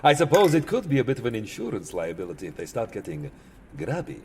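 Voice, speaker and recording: A man's voice: on the low side, wildly swooping pitch, quick pace, darkish tone, slight background noise. A man playing a weary, exasperated vampire entertainer in a comic audio drama, grumbling theatrically and petulantly.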